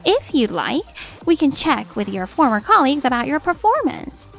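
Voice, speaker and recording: A woman playing a composed, steely attorney in a manga dub, speaking formally and with measured assertiveness. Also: high-pitched, sing-song, vocal fry, a bit bright, soft background music, exaggerated voice. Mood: coolly cutting. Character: composed, steely female attorney confronting a husband. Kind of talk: acted manga dub dialogue.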